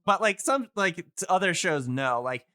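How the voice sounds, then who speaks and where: A man's voice: high voice, animated, moderate pace, pushed and bright. A man in casual podcast chat, talking in a rambling, hedging way as an enthusiast guest. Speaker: podcast guest and radio drama hobbyist.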